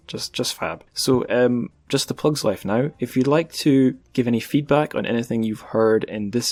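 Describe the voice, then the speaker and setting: A man's voice: mid-range voice, a little mellow, no echo. A man hosting a podcast, talking in an informal, wryly humorous way. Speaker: podcast host. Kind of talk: casual podcast outro and chat.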